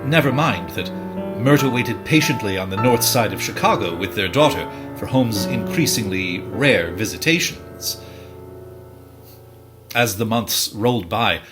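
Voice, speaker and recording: A man playing the narrator of a dark period tale, in formal, dramatic scripted storytelling. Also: fairly low pitch. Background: musical accompaniment.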